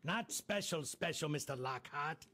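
A man's voice: on the high side, lively intonation, measured pace, bright, forceful tone, a little hiss or hum. An actor playing an insinuating male crime figure in a noir game, speaking with sly formality. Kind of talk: noir game character dialogue.

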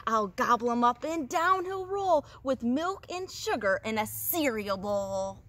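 A grumpy, ravenous troll from a children's storybook, put on by a woman reader. He growls and booms with drawn-out menace. There is faint outdoor noise on the recording. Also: expressive, fairly bright tone. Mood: hungry menace. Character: grumpy, greedy, always-hungry male troll.

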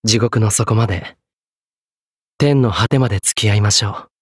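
An actor playing a solemn, courteous young executioner in a Japanese anime game, speaking in a polite, measured, grave way. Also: male voice, on the low side, slightly rough, dry recording, studio quality. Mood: solemn, devoted. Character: solemn, courteous young executioner, a guilt-burdened French swordsman.

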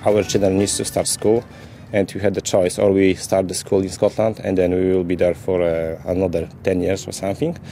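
A Polish-accented man talks in an interview with a very low, gravelly, dark voice. His English is halting, restarting phrases as he searches for words, with a faint hiss underneath. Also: little pitch movement, faint music behind. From Poland. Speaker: interviewee, ship designer.

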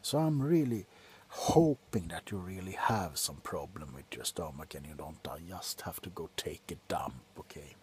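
A middle-aged man playing a grumpy, drunk doctor in an ASMR roleplay, grumbling sardonically close to the mic between soft talk and whispers. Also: low-pitched, expressive, moderate pace, a little hiss or hum. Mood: grumbling, sardonic. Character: grumpy, drunk male doctor on a Christmas house call. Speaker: ASMR performer. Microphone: very close to the face.

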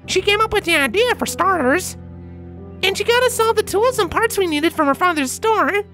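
A performer voicing excitable female cartoon ponies in an animated, girlish manner for a fan fiction reading. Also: extremely high pitch, moderate pace, faint music behind, pitched above the performer's own voice, full character voice. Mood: eager praise. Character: excitable young cartoon pony fillies and mares.

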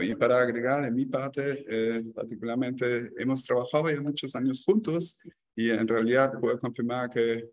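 A man's voice: mid-range voice, no room sound. A man in his thirties moderating a webinar on a video call, politely introducing the speaker. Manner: polite, reads speaker bio. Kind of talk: formal introduction.

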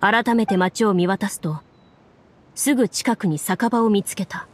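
A woman playing a composed game master who narrates a fantasy tale in a measured, formal way. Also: mid-range voice, slight background noise, close to an everyday voice. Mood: composed, solemn. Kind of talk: scripted dramatic narration. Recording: music under the voice.

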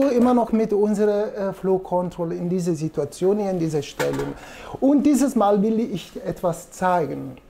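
A man in his forties with an Italian accent, a native Italian speaker, giving a casual, halting, self-deprecating demonstration in a gravelly voice. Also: high-pitched, mellow. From Italy. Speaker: presenter demonstrating espresso machines. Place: small indoor coffee showroom. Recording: noticeable background room noise.

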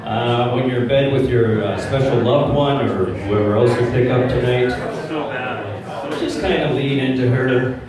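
Stand-up comic, middle-aged man, mid pitch, crude casual patter; distant and echoey, roaring crowd. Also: measured pace. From Czechoslovakia. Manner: conversational, crude, asks the crowd "right?". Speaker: stand-up comedian. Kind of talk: stand-up set. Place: small pub comedy stage with audience. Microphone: handheld mic, filmed from far back. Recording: roomy pub sound from afar. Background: loud crowd laughter.

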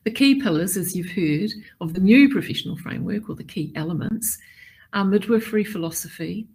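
A middle-aged woman delivering a formal prepared keynote address in a measured, deliberate way. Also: medium pitch, a little mellow, husky. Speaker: keynote speaker.

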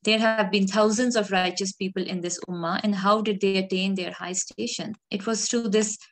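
Calm earnest woman giving a sermon. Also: medium pitch, moderate pace. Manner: calm, earnest and gently reflective. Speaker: khutbah speaker. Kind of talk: measured sermon.

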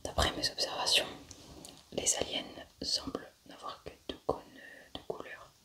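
Young woman whispering, crisp and bright. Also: a little slow. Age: young adult. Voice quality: breathy whisper. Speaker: ASMR creator playing a scientist doing an autopsy. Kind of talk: whispered ASMR roleplay.

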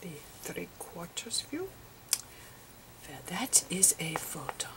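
Female ASMR lecturer: breathy, mostly whispered, halting phrases, lowish voice that swings up and down. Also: very animated. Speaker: ASMR performer playing a physicist. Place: close over a chalkboard on a table indoors.

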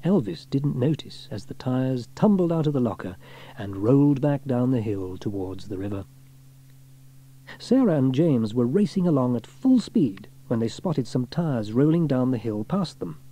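A man reads a children's story aloud in an expressive way. His voice is mid-pitched and mellow, with a lively rise and fall suited to audiobook narration. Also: moderate pace.

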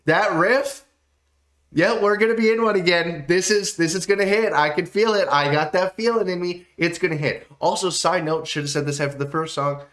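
A young man with a stuffy, nasal voice from a cold, giving excitable, swear-heavy commentary while reacting to songs in a small home room. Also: sits high, normal speed. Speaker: music reaction streamer. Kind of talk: casual music reaction commentary.

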